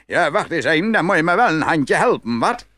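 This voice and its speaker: An eager, gullible farmer from a storybook play. He blusters and stammers as if tipsy. His fairly high voice jumps around freely and sounds bright. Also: big pitch swings, brisk, no room sound. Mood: curious. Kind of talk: dramatised fairy tale acting.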